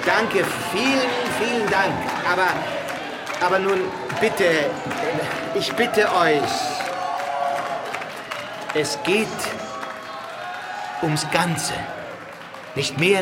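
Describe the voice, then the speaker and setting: A rousing political candidate addressing a rally in a radio play. His voice is raised high and delivered slowly, in emphatic, declamatory bursts, against a very loud, noisy crowd. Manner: declamatory, emphatic short phrases with pauses. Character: male presidential candidate, rousing idealistic orator. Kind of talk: campaign rally speech in radio drama.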